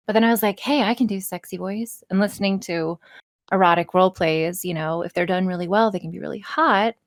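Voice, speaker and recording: A woman's voice: medium pitch, fairly quick, no echo, studio quality. A woman speaking candidly in casual podcast chat, emphatic and freely swearing, with some self-mockery. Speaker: podcast guest, erotic audio role-play performer.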